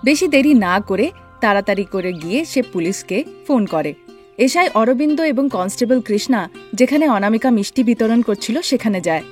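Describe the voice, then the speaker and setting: Female story narrator: higher register, scripted cartoon narration, music underneath. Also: lively intonation, moderate pace. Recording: music behind the voice.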